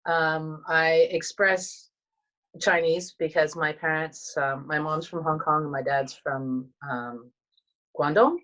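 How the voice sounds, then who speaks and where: A Canadian woman in her thirties with a low voice speaks softly and thoughtfully. She pauses often and restarts her sentences. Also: fairly even intonation, muffled recording. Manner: soft-spoken, reflective, many fillers and restarts. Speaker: guest, teacher and coach. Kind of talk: casual conversation.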